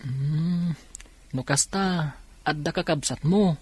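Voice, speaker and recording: Guy doing a kind young student in a radio drama, husky voice, earnest, close dry recording. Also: a little high, slow pace, a little mellow, no room sound. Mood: sympathetic. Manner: sympathetic, earnest. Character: kind, encouraging young working male student.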